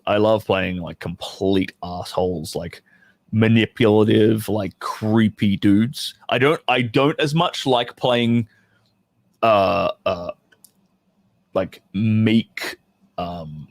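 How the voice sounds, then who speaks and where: A man's voice: on the low side, dry recording. Casual man chatting on a dry-sounding livestream. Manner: informal, bantering, mildly sweary, thinking aloud. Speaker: adult film actor reading a script to viewers. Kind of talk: casual livestream chat with script reading.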